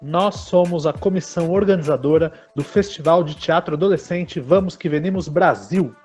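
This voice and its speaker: A man's voice: fairly high pitch, normal speed, a little mellow, faint music behind. A man in his thirties with a Brazilian accent, reading scripted narration as a podcast co-host.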